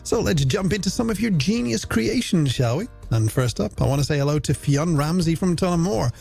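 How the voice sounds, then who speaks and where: Animated middle-aged Irish radio man, gravelly. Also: on the high side, a little fast. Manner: playful, self-deprecating, addresses listeners as friends. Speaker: radio host and presenter. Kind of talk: chatty late-night radio show presenting with listener messages. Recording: radio broadcast with music bed underneath. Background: music.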